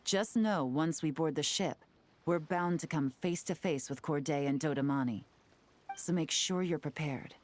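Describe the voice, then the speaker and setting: A woman's voice: deep voice, a little mellow, slight background noise, lightly acted. A woman playing a poised, shrewd investigator in a video game, speaking with composed, confident polish. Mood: approving. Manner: composed, measured, coolly confident. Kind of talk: video game character voice acting.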